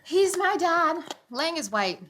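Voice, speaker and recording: An actress playing a frank, excitable sixteen-year-old girl in a live comedy read. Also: extremely high pitch, slow, noisy background, full character voice. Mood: blunt.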